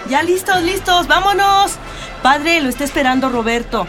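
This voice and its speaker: A woman's voice: high voice, expressive, normal speed, over music. A woman playing a devout, caring family mother in a radio soap, with a Mexican accent. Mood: brisk.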